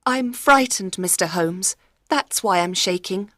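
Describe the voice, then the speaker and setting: A woman playing a troubled gentlewoman of about thirty in a dramatised audiobook, speaking earnestly and clearly. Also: medium pitch, a little slow, no room sound, clean studio sound, natural voice. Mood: frightened. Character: frightened, desperate young gentlewoman of about thirty.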